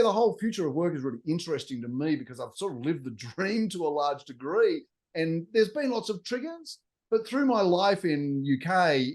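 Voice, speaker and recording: A middle-aged Australian-accented man answers interview questions fluently and confidently. His voice sits a little high for a man. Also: darkish tone. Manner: articulate, fluent, business-like explainer. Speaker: guest, technology executive.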